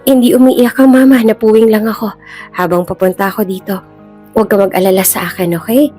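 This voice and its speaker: A woman's voice: mid-range voice, steady tone, brisk, mellow, pitched above the performer's own voice, natural voice. A woman with a Filipino accent voicing a self-sacrificing, protective mother in a story, earnest and emotional. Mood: reassuring. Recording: music bed behind the voice.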